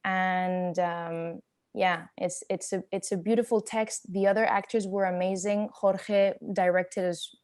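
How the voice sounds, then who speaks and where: Woman interpreting haltingly at a Q&A. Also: medium pitch, steady tone, a little mellow. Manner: hesitant, halting while translating. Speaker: actress and interpreter. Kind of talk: unscripted post-show Q&A, interpreting for others.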